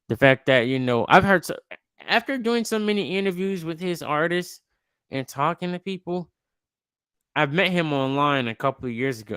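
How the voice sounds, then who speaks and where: A man in his twenties hosting a podcast, talking in a relaxed, slangy way with lots of restarts. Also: mid-range voice, clear, resonant tone, dry recording, studio quality. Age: 20s. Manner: laid-back, slangy, rambling with restarts. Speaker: podcast host. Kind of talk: casual interview chat.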